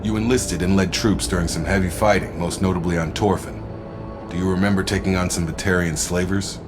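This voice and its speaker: Deep-voiced, loyal male soldier played by actor. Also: fairly even intonation, a little fast, lightly acted. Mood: earnest. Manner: straightforward, supportive. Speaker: video game character. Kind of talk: video game voice acting. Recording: loud background music. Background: game music.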